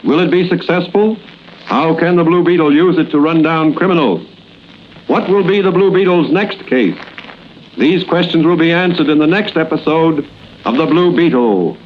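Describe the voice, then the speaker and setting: A man reading old radio promos in a crisp, formal announcing style. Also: a little high, normal speed. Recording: dull, noisy old radio broadcast.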